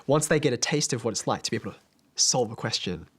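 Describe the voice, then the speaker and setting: A man's voice: medium pitch, animated, fast, breathy edge. A man, a maths teacher, talking earnestly and reflectively in a documentary interview. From Australia. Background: background music.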